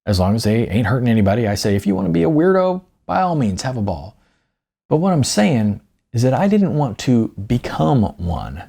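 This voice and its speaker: A man's voice: mid-range voice, very animated, fairly quick, vocal fry, dark tone, breathy edge, heavily acted. A man voicing a folksy, wise older small-town businessman in audiobook dialogue, stubborn but tolerant, repeating himself hesitantly. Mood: wry, insistent. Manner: folksy, hesitant repetitions, ain't.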